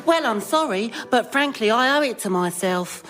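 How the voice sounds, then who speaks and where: An actress playing a self-important, pampered spa guest outdoing everyone else in radio sketch comedy. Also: female voice, fairly high pitch, lively intonation, normal speed, over a noisy crowd, very noisy, lightly acted. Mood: smug, self-important.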